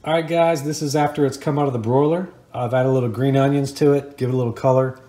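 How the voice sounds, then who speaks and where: A man's voice: medium pitch, steady tone, quick pace, mellow, soft background music. Middle-aged American man, echoey kitchen. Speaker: home cooking video host. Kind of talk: casual how-to cooking narration. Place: home kitchen, indoors.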